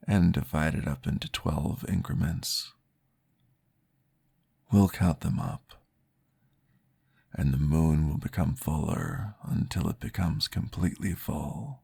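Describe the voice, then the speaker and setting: A man playing a calm, courteous vampire therapist in an ASMR roleplay, guiding gently and formally in a breathy, gravelly voice. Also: extremely low pitch, full character voice. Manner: slow, gentle, formal, soothing guidance.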